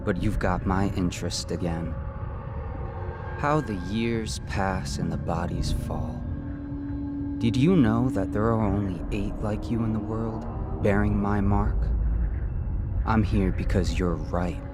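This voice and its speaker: Brief: ageless male spirit, deep and slow, cryptic, music under the game scene. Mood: calm, cryptic. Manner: slow, measured, quietly mysterious. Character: ancient otherworldly male spirit, calm and knowing. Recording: game cutscene with music behind.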